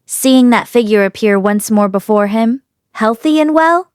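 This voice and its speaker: Female audiobook narrator, higher-pitched voice, expressive fantasy storytelling, close dry recording. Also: no room sound. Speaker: audiobook reader and read-along host. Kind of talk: expressive scripted story narration, reading a fantasy novel aloud.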